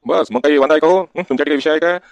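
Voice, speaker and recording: A man's voice: fairly high pitch, racing, dry recording, natural voice. A man voicing a wily, wheedling village debtor in a comic cartoon, with a rural Varhadi Marathi accent and questioning grunts tacked onto sentences. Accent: rural Maharashtra, Varhadi. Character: wily village husband, evasive debtor sweet-talking the shopkeeper.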